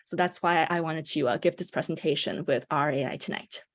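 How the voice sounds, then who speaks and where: Young woman presenting on a video call, lowish voice, upbeat, lots of ums. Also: brisk. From China. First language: Mandarin Chinese. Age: 20s. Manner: upbeat, with frequent um and uh fillers. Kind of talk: online presentation.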